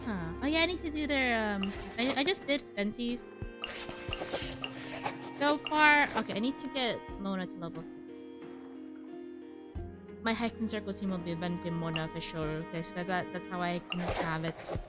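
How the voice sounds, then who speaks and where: A woman's voice: medium pitch, moderate pace, pauses often. A woman streamer giving casual, chatty gaming commentary with a playfully sarcastic manner. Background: loud game music.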